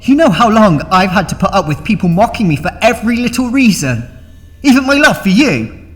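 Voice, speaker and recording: A man's voice: sits high, big pitch swings, brisk, fairly bright tone. An actor playing an obsessive, wounded young man in an audio drama, pleading and faltering with emotion. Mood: wounded anger. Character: obsessive, needy, wounded young male hanger-on. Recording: long echoing reverb, music behind.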